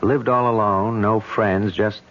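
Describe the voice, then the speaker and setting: A cocky, joking detective. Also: male voice, on the low side, steady tone, measured pace, fairly bright tone, slight background noise. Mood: fond. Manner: jokey, self-assured. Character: cocky male police detective. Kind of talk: 1970s radio drama acting. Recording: dull old radio recording.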